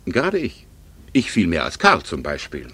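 An urbane old family friend in a 1960s-style radio drama. His voice is husky and fairly low, and his delivery is dry, with gentle irony. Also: huge pitch swings, normal speed, full character voice. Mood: wry, self-justifying. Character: wry divorced man, old family friend about to travel. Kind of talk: 1960s German radio play acting.

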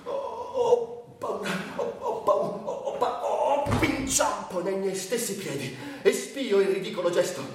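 Bitter sick man, theatrical monologue, higher-pitched male voice, sardonic, volatile, very reverberant recording. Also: expressive, unhurried. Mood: anguished. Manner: volatile, swinging between muttering and declaiming. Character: bitter, sardonic, tormented man confined by illness. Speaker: actor. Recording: very echoey, distant, faint noise.

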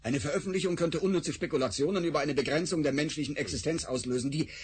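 A man's voice: a little high, fast talker, breathy edge. An actor playing a cold, pragmatic male scientist in a radio drama, clinical in manner.

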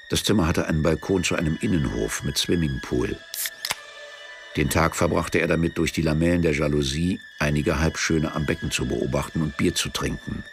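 An actor narrating a literary radio play in a measured, matter-of-fact, sombre way. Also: male voice, deep voice, gravelly. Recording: music behind the voice. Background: music.

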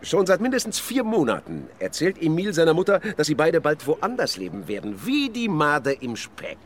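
An actor playing an officious, pointed police officer in a radio crime drama, formal and emphatic. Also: male voice, a little high, huge pitch swings, moderate pace, a little hiss or hum.

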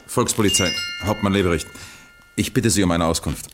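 Actor as very deep-voiced, probing police captain. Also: male voice, heavy background noise, lightly acted. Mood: official, probing. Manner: deliberate, questioning, official. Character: calm, probing male East German police captain. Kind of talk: radio crime drama acting.

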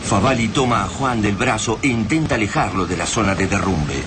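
A man's voice: lowish voice, animated, brisk, very noisy. A man with an Argentine accent giving a dramatic narration for a radio drama.

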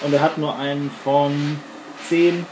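A man running a tabletop game as game master, explaining rules and improvising scenes in a halting, explanatory way. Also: on the high side, level tone. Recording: roomy home recording with clear noise.

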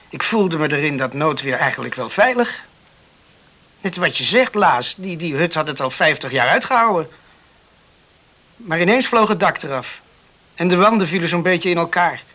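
A young island man in a radio play, rescued from a storm. His voice sits fairly high and rings very bright. He speaks earnestly, with halting, moved phrasing. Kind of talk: radio drama acting.

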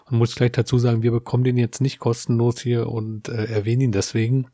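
A man's voice: mid-range voice, little pitch movement, fast talker, warm tone, no echo, studio quality. A man from Berlin co-hosting a casual podcast, chatty and dotted with fillers. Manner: chatty, many "äh" and "ähm" fillers, laughs often. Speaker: podcast co-host. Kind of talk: casual chat.

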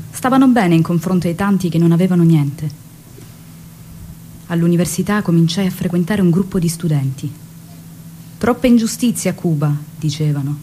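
An actress plays an ardent woman revolutionary looking back on her youth in a theatrical monologue. Her voice is mellow and she tells it in a measured, reflective way. There is faint noise in the recording. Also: fairly low pitch, natural voice. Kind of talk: dramatic stage monologue.

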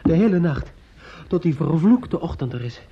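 A bitter, grieving nephew in a radio drama, with a slightly high, husky voice. He taunts in halting, insistent repetitions, sneering and menacing. The old recording sounds dull. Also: very animated, exaggerated voice. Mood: bitter mockery. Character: bitter, menacing, grief-stricken nephew taunting his aunt. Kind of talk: Dutch radio play acting.

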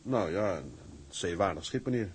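A man's voice: low-pitched, animated, normal speed, slightly rough, full character voice. An actor playing a modest young sailor in his twenties, polite and earnest with his superior. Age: 20s.

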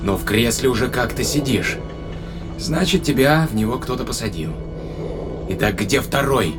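A man's voice: mid-range voice, lively intonation, moderate pace, over music, close to an everyday voice. A man playing a tense, distrustful astronaut in an audio drama, questioning tersely and accusingly. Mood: grim, accusing. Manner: terse, interrogating. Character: tense, distrustful spaceship astronaut confronting an alien.

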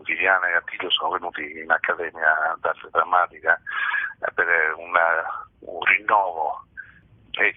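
A man speaks in a low, raspy voice over a thin, roomy phone connection. He answers as an interviewed actor, thoughtfully and haltingly, often searching for his words. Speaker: stage actor, interview guest. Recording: muffled roomy telephone line.

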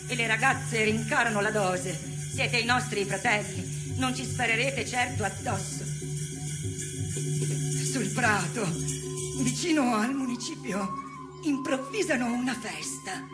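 An actress playing a woman activist who recounts a bloody uprising in a dramatic theatre monologue, in measured phrases broken by pauses. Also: on the high side, lightly acted. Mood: tense, solemn. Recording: prominent music under the voice. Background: loud theatrical music score.

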